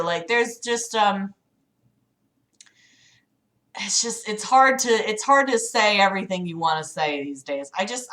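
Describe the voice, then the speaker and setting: A woman's voice: mid-range voice, fairly bright tone. A woman chatting casually as a livestream host, rambling hesitantly in a self-deprecating way. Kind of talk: casual chat with livestream audience.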